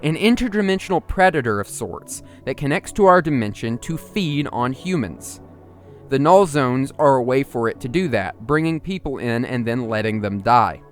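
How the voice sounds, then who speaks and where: A man's voice: medium pitch, animated, normal speed. A man narrating an analytical video essay in a musing, explanatory and partly conversational manner. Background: music under the voice.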